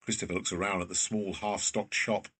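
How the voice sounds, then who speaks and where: Male narrator for scripted scene description: lowish, rough-grained, slightly distant mic. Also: flat delivery, fairly quick, a bit bright. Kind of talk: scripted narration of stage directions.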